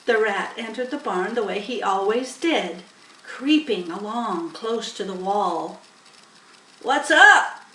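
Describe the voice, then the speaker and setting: A woman reads a children's story slowly in a fairly high voice. The recording is roomy, with faint noise. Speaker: storybook reader. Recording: roomy, distant mic, faint hiss.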